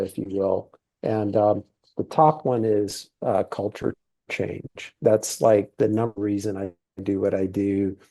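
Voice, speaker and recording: A man's voice: lowish voice, warm tone, low-quality recording. A middle-aged man who grew up in Thailand, talking casually in an interview with lots of fillers. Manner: easygoing, rambling with many fillers. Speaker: guest, TV series producer.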